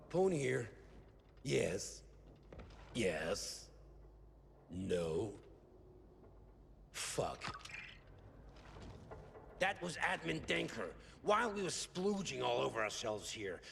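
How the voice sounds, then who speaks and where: A harried, excitable crime-boss type for a cyberpunk game. His voice is high for a man and heavily creaky, swinging widely as he swears theatrically. It rings in a long reverb over faint noise. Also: full character voice. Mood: curt. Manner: theatrical, profane, exclamatory. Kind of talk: video game cutscene acting.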